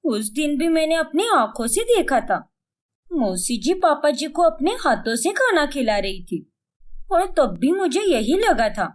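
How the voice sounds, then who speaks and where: A young daughter-in-law from a family drama story, acted by a woman. She is indignant and quick to scold. Her high voice moves up and down with very lively intonation. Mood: troubled musing. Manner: scolding, self-doubting, dramatic.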